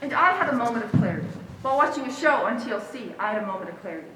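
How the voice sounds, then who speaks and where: Quirky aggrieved young woman, played by an actress on stage, highish voice, far from the mic. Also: animated, very fast, close to an everyday voice. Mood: earnest, indignant. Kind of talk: comic theatrical stage monologue. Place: stage in a hall. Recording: echoey hall from far off, faint noise.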